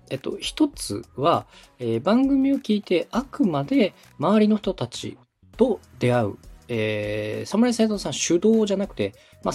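A man from Osaka hosting a podcast consultation, explaining things politely and methodically with drawn-out hesitations. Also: a little high, a little mellow, breathy edge. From Osaka, Japan. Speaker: podcast host, radio director coaching a client.

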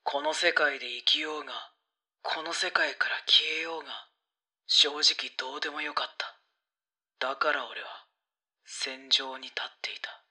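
A man's voice: on the high side, fairly even intonation, normal speed, pushed and bright. A man playing a jaded, nihilistic fighter in a brooding, detached radio drama monologue. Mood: bleak, resigned. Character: jaded, nihilistic male fighter narrating his own fate.

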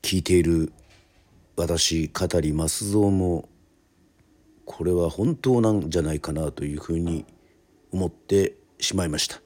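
A man hosting and narrating a radio drama, speaking in polite, formal, measured storyteller phrasing. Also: low-pitched, darkish tone.

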